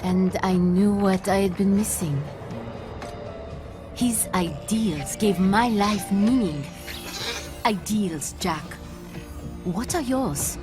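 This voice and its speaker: An actress playing a cold, world-weary French-Algerian mercenary with a French accent, reflective and taunting in a video game cutscene. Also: female voice, medium pitch, expressive. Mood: wistful, intense. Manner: slow, reflective, coolly menacing. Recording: loud music bed.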